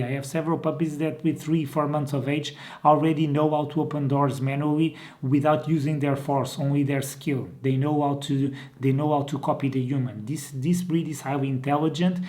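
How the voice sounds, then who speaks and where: A man's voice: medium pitch, darkish tone. Portuguese-accented man explaining to camera. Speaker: dog breeder and channel host. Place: indoor room.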